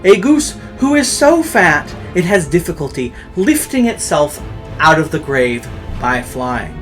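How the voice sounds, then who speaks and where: A storyteller host telling a horror tale in a pompous, campy, theatrical way, with dramatic pauses and drawn-out words. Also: medium pitch, soft background music. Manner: pompous, campy, self-flattering showmanship.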